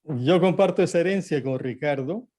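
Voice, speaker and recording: Guatemalan man, panel guest, mellow and slightly high, measured delivery. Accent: Guatemalan Spanish. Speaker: panel guest, theater artist. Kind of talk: online panel discussion.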